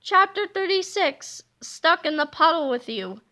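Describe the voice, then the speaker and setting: Bright-voiced woman reading a children's book. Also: very high-pitched, a little slow. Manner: expressive storytelling. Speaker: read-aloud narrator.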